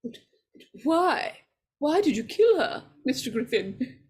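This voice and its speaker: An actress playing a frightened, superstitious older woman in 1940s radio horror style, breathy and stammering. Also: sits high, very animated, darkish tone, exaggerated voice. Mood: horrified, frightened. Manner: halting, stammering.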